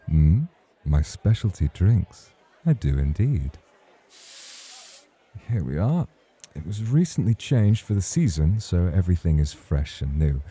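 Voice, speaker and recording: A man's voice: low-pitched, big pitch swings, unhurried, long pauses, dark tone, exaggerated voice. A man playing a suave, teasing champion nightclub host in a romantic ASMR roleplay, speaking in a courtly, provocative way. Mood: charming. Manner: smooth, courtly, playfully provocative.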